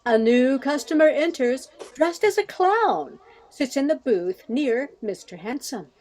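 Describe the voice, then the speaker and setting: An older woman narrates scripted stage directions for a radio play. Her voice is high, with very animated intonation. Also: measured pace, background noise.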